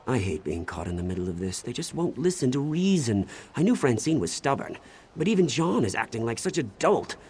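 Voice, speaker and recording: A man's voice: mid-range voice, very animated, a little fast, a little mellow, a little hiss or hum. An actor playing an exasperated, grumbling townsman in a fantasy game. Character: exasperated townsman caught in a petty neighborly quarrel. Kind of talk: scripted fantasy game dialogue.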